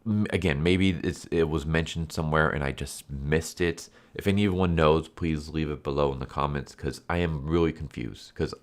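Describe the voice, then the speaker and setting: Man, very deep voice, stuffy and congested, rambling casual comic reviewer, lots of fillers. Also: normal speed, few pauses. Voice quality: nasal, stuffy. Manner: rambling, full of fillers and restarts. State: congested from illness.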